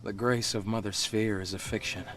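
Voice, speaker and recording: An actor playing a calm, pragmatic male companion in a video game, understated and matter-of-fact. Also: on the low side, moderate pace, faint music behind, natural voice. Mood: grave, calm. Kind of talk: video game character acting.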